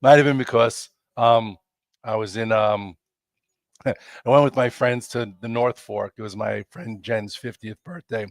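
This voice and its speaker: New guy w a stuffy voice rambling about stocks, lots of you knows, mid pitch, dry. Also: fairly even intonation, unhurried, dry recording. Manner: chatty, rambling, many fillers and restarts. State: congested, losing his voice. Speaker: stock market show host. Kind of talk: casual live market commentary.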